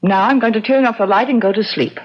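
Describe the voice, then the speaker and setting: An actress playing a resolute elderly widow, dry and emphatic, in a 1970s radio drama. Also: female voice, on the high side, fast talker, natural voice. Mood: dry, firm. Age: older. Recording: muffled old radio recording.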